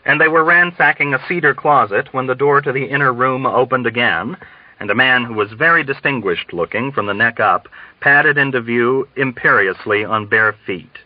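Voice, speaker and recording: A man's voice: medium pitch, moderate pace, no echo. A man reading a novel aloud as scripted audiobook narration. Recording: dull, dry, band-limited audio.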